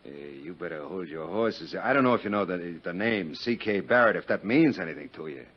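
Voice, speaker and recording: An actor in a 1950s radio drama playing a crude, boastful, jealous Chicago businessman, rambling and overfamiliar in folksy roughneck diction. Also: low-pitched, animated, a little fast. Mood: blustering, threatening. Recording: dull vintage 1950s radio recording.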